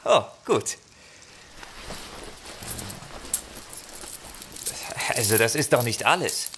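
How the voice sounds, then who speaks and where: Lively theatrical tavern poet played by a man. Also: mid-range voice, moderate pace, a little hiss or hum. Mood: indignant. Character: boastful, thirsty tavern poet and storytelling adventurer. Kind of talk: theatrical audio drama storytelling.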